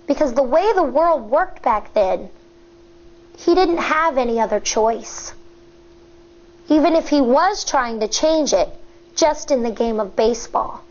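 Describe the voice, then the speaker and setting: A woman teacher reading a picture book aloud to children, expressive and deliberate, stepping aside at times to explain. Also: sits high. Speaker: elementary school teacher. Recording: dull, lo-fi sound.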